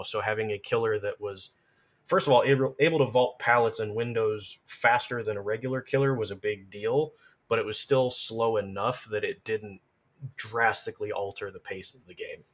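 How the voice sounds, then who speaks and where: A man talking as a podcast guest, casually explaining things in detail like someone who knows the subject well. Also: on the low side. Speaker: podcast guest, game lore and gameplay expert.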